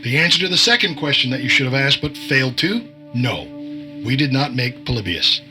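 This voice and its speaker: A man's voice: mid-range voice, expressive, fairly quick, over music, close to an everyday voice. An actor playing a condescending government agent in an audio drama, wordy and mock-polite as he toys with his target. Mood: lecturing.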